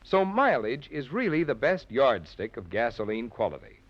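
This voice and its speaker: A man's voice: medium pitch, very animated, normal speed. A male announcer reading a 1940s radio advertisement in a polished, emphatic, persuasive way. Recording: muffled old radio recording.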